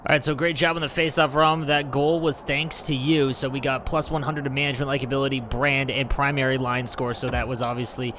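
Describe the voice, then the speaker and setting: A man's voice: mid-range voice, little pitch movement, fairly quick, hardly any pauses, background noise. A man hosting a gaming video, giving casual, explanatory gameplay commentary in an informal way. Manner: informal, reads game text aloud.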